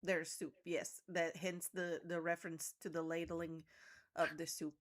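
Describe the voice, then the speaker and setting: A woman's voice: on the low side, little pitch movement, unhurried. A woman speaking in character in a tabletop game, matter-of-fact and precise in her wording. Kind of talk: in-character roleplay.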